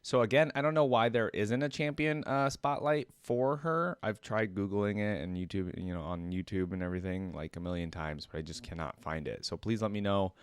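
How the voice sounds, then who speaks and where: A man's voice: on the low side, fairly quick, hardly any pauses, clear, resonant tone, dry recording. A man giving informal, rambling reaction commentary on a video game, thinking aloud and talking to his viewers. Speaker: host of a game reaction channel. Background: game trailer music.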